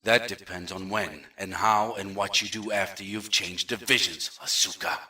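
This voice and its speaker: A man's voice: deep voice, steady tone, normal speed, bright, forceful tone, reverberant, recorded from a distance. An actor playing a coldly polite, threatening male general holding a prisoner. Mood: smooth menace. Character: menacing male general, smooth and patronizing.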